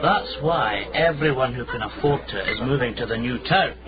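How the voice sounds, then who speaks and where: A man with a Scottish accent acting a boastful, excitable patriot poet in a radio drama. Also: mid-range voice, moderate pace, lightly acted. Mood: proud. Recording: dull radio recording, loud tavern crowd.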